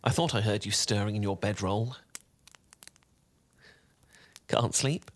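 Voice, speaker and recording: A man playing a charming, learned wizard who tells a bedtime story in a soft, velvety, theatrical and slightly pompous voice, in a soothing fan roleplay. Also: lowish voice, lightly acted. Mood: gentle, playful. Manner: theatrical, eloquent, unhurried, gently pompous.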